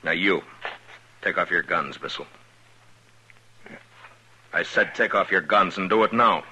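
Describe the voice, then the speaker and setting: An actor playing a stern, hard frontier marshal in a 1950s radio drama, with a deep, commanding voice. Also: male voice, unhurried, bright, forceful tone, slight background noise, exaggerated voice. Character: stern frontier marshal, middle-aged man, steady and hard. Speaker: lead actor and narrator. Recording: dull old radio recording with music.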